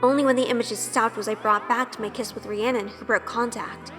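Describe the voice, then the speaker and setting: A woman's voice: a little high, fairly even intonation, brisk, fairly bright tone, big-room sound, natural voice. A woman playing a timid, sheltered young woman in an audio drama, hesitant and anxious. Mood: uneasy, reflective. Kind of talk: audio drama acting and first-person narration. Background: music.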